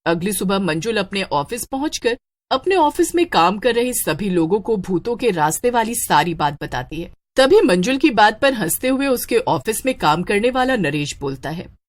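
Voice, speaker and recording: A woman's voice: medium pitch, sing-song, normal speed. A woman storyteller reading scripted narration for a horror story.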